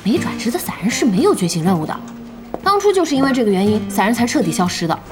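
A woman playing a lively, exasperated young gamer in an audio drama, expressive, emphatic and chatty. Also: a little high, fast talker. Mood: explaining. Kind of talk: animated Chinese audio drama acting. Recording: music bed under the dialogue.

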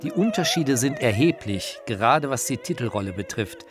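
Man, medium pitch, scripted radio-feature narration, faint operatic music behind. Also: very animated, normal speed. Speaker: author and narrator.

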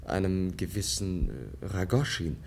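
A very low-pitched young gentleman, a naive Russian prince from a radio play. He is earnest and candid, choosing polite, formal words and now and then hesitating. The delivery is mannered 1950s German stage-radio speech. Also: expressive, unhurried, gravelly, slight background noise, full character voice. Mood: open, hesitant. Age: young adult. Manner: formal, courteous, hesitant in places.

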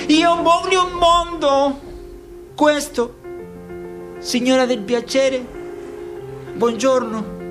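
A man playing an impassioned dreamer-poet in a theatrical radio monologue, declaiming slowly. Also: very high voice. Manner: slow, declamatory. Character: impassioned dreamer-poet singer, a migrant at sea. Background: music.

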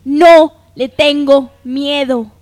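A woman's voice: high-pitched, steady tone, drawn-out words, darkish tone, slight background noise. A woman playing a polite, proud young woman in an amateur radio soap opera, earnest and deferential. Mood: defiant.

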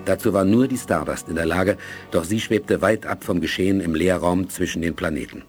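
Low-voiced man narrating with music. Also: a little fast. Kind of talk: dramatic scripted narration, 1980s radio play.

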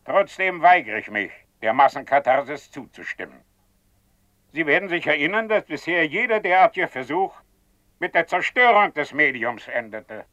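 Stern official chairing a guild, formal measured radio play delivery, dry and slightly muffled. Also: male voice, on the high side, big pitch swings, bright, forceful tone, no echo. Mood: indignant, resisting. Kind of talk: German radio drama acting.

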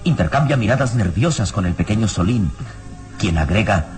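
Low-voiced male radio serial narrator over music. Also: lively intonation, normal speed.

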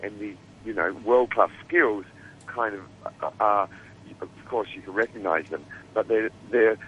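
A man's voice: lowish voice, measured pace, highs cut off, a little hiss or hum. A man with an Australian accent, a guest producer talking casually in an interview, rambling with many restarts and fillers.

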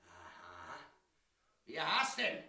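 A man's voice: mid-range voice, huge pitch swings, very slow, bright, forceful tone, echoey room, far from the mic, full character voice. A man with an Austrian-Bavarian accent playing a blustering, impatient, menacing tax collector in a grotesque radio play. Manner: blustering, cursing by comic saints.

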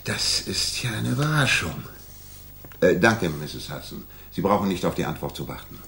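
An actor playing a restless, sharp-minded gentleman detective, theatrical and articulate, in a 1960s radio drama. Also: sits low, sing-song, normal speed, gravelly, a little hiss or hum, heavily acted. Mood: eager.

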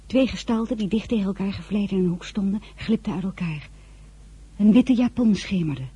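An actress playing an observant young woman narrating her own memories in a Dutch-language radio drama, with a soft voice. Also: medium pitch, normal speed, slightly rough. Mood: uneasy. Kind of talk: scripted first-person narration in radio drama.

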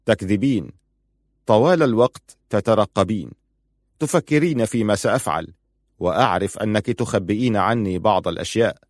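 A man's voice: lowish voice, brisk, no echo, studio quality, natural voice. A man playing a stern, proud and suspicious husband in audiobook dialogue, firm, curt and challenging. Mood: accusing.

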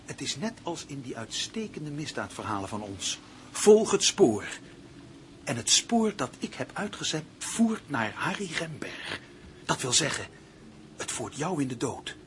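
An actor in a radio play playing a cold, calculating man who taunts his partner in a theatrical, deliberate, mock-sweet way. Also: medium pitch, big pitch swings, noisy background. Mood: cold menace. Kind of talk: Dutch radio play acting.

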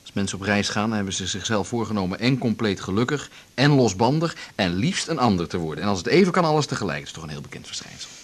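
A man's voice: lowish voice, expressive, quick pace. An actor playing a dry, laconic, easygoing man in a radio drama. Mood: wry, dismissive. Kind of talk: 1980s Dutch radio drama acting.

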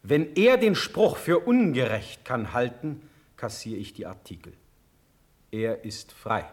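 An actor playing a dignified, fatherly older ruler in a classical radio drama, with deliberate, declamatory delivery. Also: mid-range voice, animated, breathy edge. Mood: gentle, reassuring. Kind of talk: classical German verse radio drama acting.

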